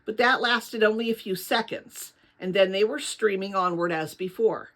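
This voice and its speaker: A woman reading a children's novel aloud as narrator, with dramatic, expressive storytelling. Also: mid-range voice, moderate pace.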